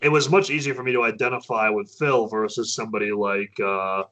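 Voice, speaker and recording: A man, a metal band's vocalist, chatting casually as an interview guest, often halting and restarting his sentences. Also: fairly even intonation, a bit bright. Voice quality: naturally low voice. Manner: hesitant, many restarts and fillers.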